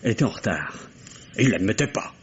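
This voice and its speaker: An actor playing a distraught, bitter young French lover under suspicion in a 1950s-style radio drama, with hesitant, broken phrasing. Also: male voice, lowish voice, very animated, fast. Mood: resentful. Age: young adult. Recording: dull, noisy vintage radio recording.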